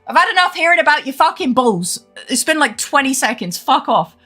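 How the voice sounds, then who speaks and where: Animated, swearing young woman streamer. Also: high voice, a little fast, soft background music. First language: English. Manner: animated, swearing, frequent laughter. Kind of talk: casual chat while gaming.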